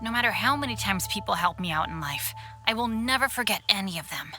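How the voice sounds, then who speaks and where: A woman's voice: medium pitch, lively intonation, quick pace, pushed and bright, soft background music. A woman playing an earnest, grateful, upbeat young adventurer in an anime game, with a sincere delivery.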